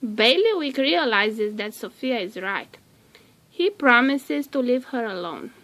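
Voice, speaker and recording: Casting: female storybook narrator, bright tone, unhurried and measured delivery. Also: fairly high pitch, lively intonation. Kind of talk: scripted children's storybook read-aloud.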